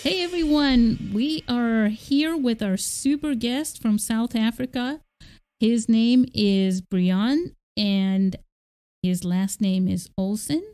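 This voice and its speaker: A woman's voice: on the high side, slow pace, dark tone. A woman hosting a podcast interview, enthusiastic and often restarting her sentences. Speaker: podcast host. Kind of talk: casual interview chat.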